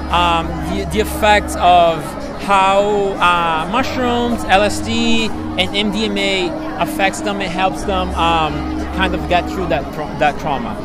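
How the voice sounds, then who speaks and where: Young man from Brazil, high voice, rambling casual guest; loud music behind. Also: steady tone, a little slow, hardly any pauses, a loud crowd. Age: young adult. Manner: rambling, heavy on "like" fillers, earnest. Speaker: interview guest. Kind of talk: casual chat.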